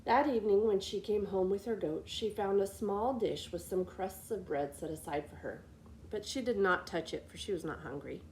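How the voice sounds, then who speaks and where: Lady storyteller reading a kids fairy tale, expressive, medium pitch, noisy recording. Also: normal speed. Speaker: library storyteller. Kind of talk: children's fairy tale narration.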